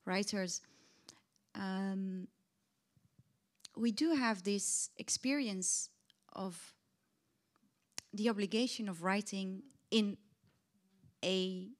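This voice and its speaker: A woman's voice: medium pitch, very slow, long pauses. A woman, a writer moderating a panel, rephrasing as she searches for her questions. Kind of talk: panel discussion. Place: event hall on stage.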